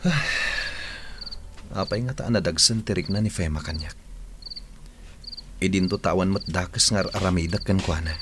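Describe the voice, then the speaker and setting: A very low actor's male voice plays a troubled older brother who works in an office. He is protective, speaks with an Ilocano accent and performs in radio drama style. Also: moderate pace, pauses often, music in the background, close to an everyday voice. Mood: concerned.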